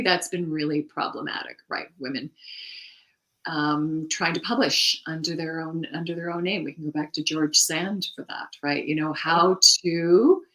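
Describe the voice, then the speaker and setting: A middle-aged woman co-hosting a casual chat about writing, animated and quick to laugh, often restarting her thoughts. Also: sits low, rough recording. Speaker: podcast co-host.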